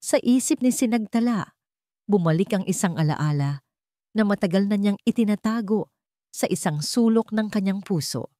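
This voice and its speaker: A woman's voice: mid-range voice, lively intonation, moderate pace, warm tone, no echo, studio quality. A woman with a Filipino accent narrating a scripted dramatic story.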